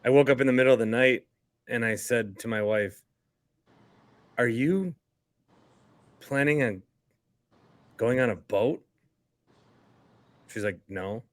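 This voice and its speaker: A man's voice: mid-range voice, slow, pauses often, dry recording. A middle-aged man hosting a sports podcast in a casual, chatty way.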